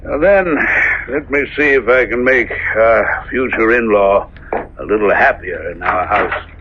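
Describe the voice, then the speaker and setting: An actor playing an older minister and troubled father in a 1970s radio drama, earnest and measured, with formal wording. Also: lowish voice, close to an everyday voice. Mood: mild, apologetic. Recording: dull, noisy old radio broadcast recording.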